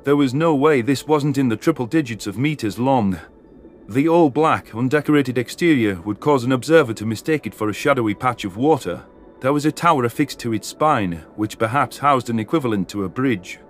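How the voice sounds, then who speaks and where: Mid-pitched man narrating over music. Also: expressive, brisk. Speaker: audiobook narrator. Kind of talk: scripted first-person story narration. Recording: music behind the voice.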